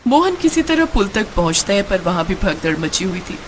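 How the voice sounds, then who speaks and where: A woman narrates a scripted story. Her pitch is in the middle range, and there is clear background noise and ambience. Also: sing-song, normal speed.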